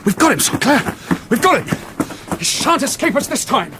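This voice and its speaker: An actor playing a very young, brilliant amateur detective in a period radio drama, blunt, insistent and facetious. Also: male voice, very high-pitched, sing-song, normal speed. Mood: excited, urgent. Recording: noisy ambient sound behind dialogue.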